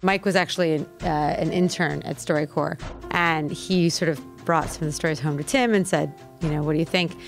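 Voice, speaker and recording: A woman's voice: low voice, fairly even intonation, fairly quick, gravelly, faint music behind. A woman speaking as an interviewee, explaining the work of her organization.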